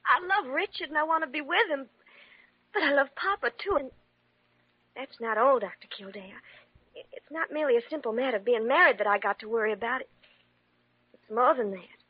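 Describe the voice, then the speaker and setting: An actress playing a devoted, distressed young bride with a pleading, hesitant manner, in an old-time radio drama. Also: female voice, high voice, expressive, a little fast, pauses often, breathy edge. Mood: anxious, pleading. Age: young adult. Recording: muffled, echoey old radio recording.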